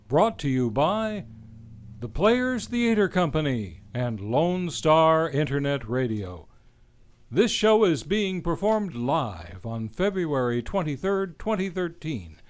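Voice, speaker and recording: A man hosting a radio drama show, announcing from a script in a grand, theatrical old-time radio manner. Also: on the high side.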